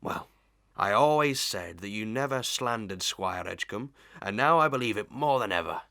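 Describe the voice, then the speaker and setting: A man acts out a wheedling village busybody in a Victorian audio melodrama. The voice is fairly low and very bright, recorded close and dry. He rambles self-importantly, hemming and trading in old sayings. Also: animated, moderate pace, no echo, full character voice. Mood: ingratiating. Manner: rambling, proverb-spouting, self-important, hemming with throat-clearing.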